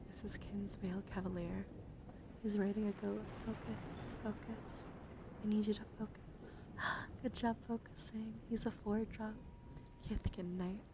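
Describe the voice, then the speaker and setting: Asmr girl streamer, woman talking soft n gentle w lots of whispering, noticeable noise behind her. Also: medium pitch, fairly even intonation, measured pace. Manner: soft-spoken, often dropping into whispers; gentle, soothing, encouraging. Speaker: livestream host showing trading cards. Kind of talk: ASMR, soft casual chat.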